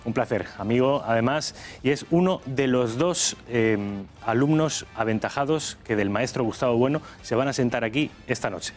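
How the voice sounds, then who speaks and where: A man from hosts a studio panel debate. He reads a measured opening editorial, then trades friendly quips while introducing his guests. Faint music sits beneath his voice. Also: mid-range voice. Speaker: TV debate show host. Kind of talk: television panel talk show.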